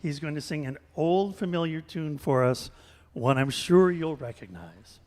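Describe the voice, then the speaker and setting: A man's voice: a little high, animated, unhurried, darkish tone. A man playing an upbeat radio host running a charity fundraiser, recorded in a reverberant hall. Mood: upbeat, coaxing. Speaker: host of a radio theater show. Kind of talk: radio show hosting and announcing. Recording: echoey hall with long reverb.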